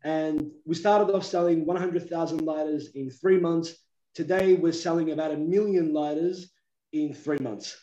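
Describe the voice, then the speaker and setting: A man's voice: on the high side, fairly even intonation, moderate pace, mellow. A man in his thirties, a business owner, chatting casually on a video call from an office, with lots of ums. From Australia. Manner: chatty, gestures with his hands, many ums. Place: office, indoors. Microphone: webcam on a video call. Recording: echoey, muffled video call.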